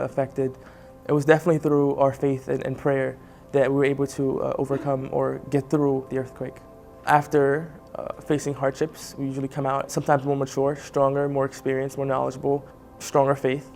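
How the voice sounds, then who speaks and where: A young man from Haiti sharing his personal story as a guest, earnest and pausing with fillers. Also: medium pitch, mellow. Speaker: spotlight guest. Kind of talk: personal testimony.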